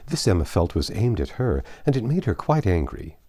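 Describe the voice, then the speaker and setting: A man reading a classic novel aloud as narrator, in a measured, formal storytelling manner. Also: lowish voice, big pitch swings, warm tone. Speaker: audiobook narrator. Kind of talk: expressive literary reading aloud.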